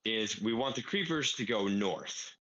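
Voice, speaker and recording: A man's voice: lowish voice, expressive, unhurried, pushed and bright, highs cut off. A man explaining a game build casually, step by step, thinking aloud as he plays. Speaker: player explaining a farm build. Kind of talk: casual gaming tutorial.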